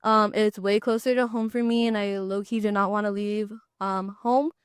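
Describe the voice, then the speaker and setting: Young woman, college podcast co-host, smooth clear voice, easygoing, close dry sound. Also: medium pitch, fairly even intonation, moderate pace, no echo. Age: young adult. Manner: friendly, easygoing, light laughs. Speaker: podcast co-host, student ambassador. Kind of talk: casual chat.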